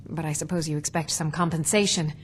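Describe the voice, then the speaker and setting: An actress voicing a proud, opinionated middle-aged alchemist shopkeeper in a fantasy video game. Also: female voice, low voice, fairly even intonation, quick pace, natural voice. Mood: brisk, chatty.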